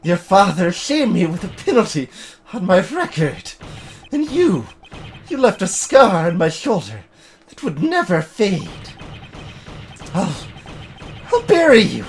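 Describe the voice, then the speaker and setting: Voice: mid-range voice, huge pitch swings, soft background music. A performer voicing a vengeful, unhinged old male prosecutor in a video game, seething and theatrical. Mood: raging. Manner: theatrical, seething, dramatic drawn-out delivery.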